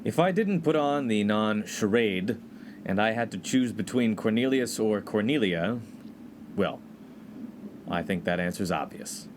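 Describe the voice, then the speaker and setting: A man's voice: fairly low pitch, expressive, a little slow, slight background noise. An actor playing an earnest, polite and proper young college man in a comedic 1950s radio play. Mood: measured. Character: earnest, prim, square young male college freshman.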